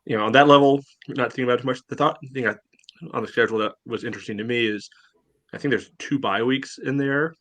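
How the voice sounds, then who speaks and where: A man's voice: mid-range voice, fast, fairly bright tone, no echo. A man co-hosting a sports podcast, talking bluntly and sarcastically with lots of filler words. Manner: blunt, sarcastic, many "you know" fillers. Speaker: podcast co-host. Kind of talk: casual sports podcast chat.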